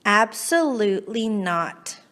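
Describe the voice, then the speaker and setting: A woman's voice: mid-range voice, slow pace. A woman reading a children's picture book aloud expressively, coaxing and reacting as if chatting with the character. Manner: animated, coaxing, exaggerated reactions. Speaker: children's storybook reader. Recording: long hall-like reverb.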